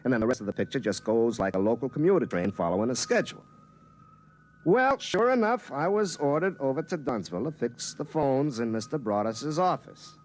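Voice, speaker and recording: An American man playing an excitable, lovesick young small-town repairman in 1950s radio drama, with a folksy manner. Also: medium pitch, brisk, a little hiss or hum, close to an everyday voice, poor audio. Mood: wry narrating. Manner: folksy, stammering when flustered. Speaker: radio drama lead and narrator. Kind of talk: 1950s radio acting, first-person storytelling. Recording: vintage radio drama with music.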